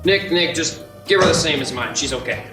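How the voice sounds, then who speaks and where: A man's voice: on the high side, lively intonation, fairly quick, close to an everyday voice. A man playing a despairing, irritable small-town family man in an amateur radio play, folksy, sarcastic and hesitant. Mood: puzzled. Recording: echoey, distant mic with music behind.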